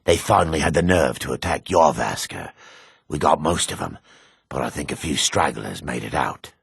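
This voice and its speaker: An actor playing a grim, weathered male dark elf warrior in a fantasy game, with a husky, measured, menacing delivery. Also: very deep voice, lively intonation, no echo, studio quality, exaggerated voice. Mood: grave. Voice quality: gravelly, husky. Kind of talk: fantasy game character lines.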